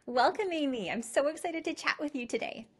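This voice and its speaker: A middle-aged woman hosts an upbeat interview podcast. Her voice sits slightly high, and she speaks effusively and with emphasis, close to the microphone. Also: expressive, a little fast. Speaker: show host. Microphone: close podcast mic on a boom arm.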